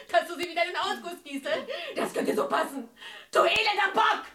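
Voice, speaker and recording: An actress playing a landowner's bitter, drink-craving wife in a radio play, scornful and taunting. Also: female voice, very high-pitched, moderate pace, bright, forceful tone, recorded from a distance. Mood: scornful, demanding. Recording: roomy, set back from mic.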